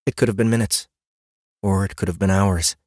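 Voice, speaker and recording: A male narrator reads a fantasy audiobook in a low voice. His storytelling is expressive and deliberate, and the studio sound is very dry, with no room around it. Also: no echo.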